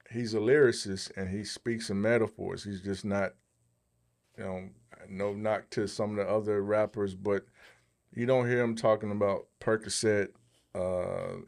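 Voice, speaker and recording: A man co-hosting a podcast with casual topical commentary, halting and pausing in the middle of his thoughts. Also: lowish voice, vocal fry, darkish tone. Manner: halting, pauses mid-thought. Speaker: podcast co-host.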